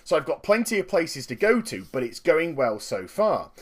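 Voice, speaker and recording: A man with a slightly croaky voice, giving upbeat, chatty commentary on his own card game match and explaining each move. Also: fairly high pitch, sing-song, normal speed. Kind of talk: gameplay commentary.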